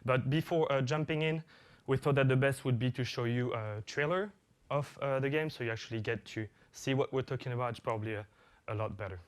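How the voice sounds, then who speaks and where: A man's voice: medium pitch, a little fast. A man whose first language is French, giving a conference talk as an executive producer, with many fillers and restarts.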